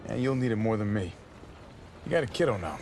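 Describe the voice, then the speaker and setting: A man's voice: lowish voice, fairly quick, noisy background. An actor playing a brash young soldier from Queens, joking with his comrades as they part ways. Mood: jokey. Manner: lively, wisecracking. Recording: game score under voice.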